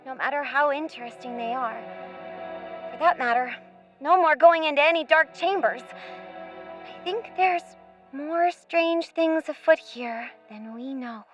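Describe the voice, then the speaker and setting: A woman's voice: sits high, a little slow, highs cut off, soft background music. A woman playing a curious, polite and sensible young girl in a radio play, speaking earnestly with quaint, old-fashioned turns of phrase. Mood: earnest, wary.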